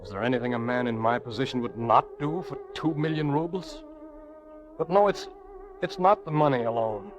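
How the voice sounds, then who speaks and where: Casting: man as proud young captive, mid-pitched, earnest and deliberate, vintage radio play with faint music. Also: big pitch swings, lo-fi sound, natural voice. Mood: proud resolve. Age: young adult. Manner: earnest, reflective, deliberate dramatic phrasing. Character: proud, intense young male prisoner by wager. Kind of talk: old-time radio drama acting, first-person narration.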